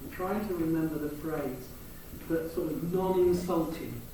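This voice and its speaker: English councillor, hesitant, answering from the panel in a reverberant hall, picked up from afar. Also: male voice, a little high, measured pace, warm tone, slight background noise. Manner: hesitant, searching for words. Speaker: town councillor. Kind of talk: answering questions at a public meeting. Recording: echoey hall, distant mic.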